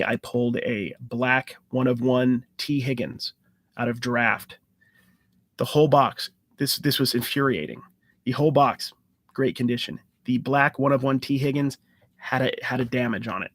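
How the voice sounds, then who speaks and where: A man's voice: mid-range voice, fairly bright tone, no room sound. A man hosting a casual live-stream Q&A, talking in a loose, rambling way with restarts and fillers. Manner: enthusiastic about card hunting. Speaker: sports card channel host.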